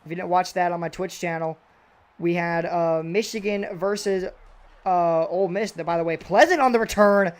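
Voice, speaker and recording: A young man doing play-by-play commentary on a video game football match, excitable and a little raspy, his voice jumping on big plays. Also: normal speed. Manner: excitable, voice jumps high and loud on big plays.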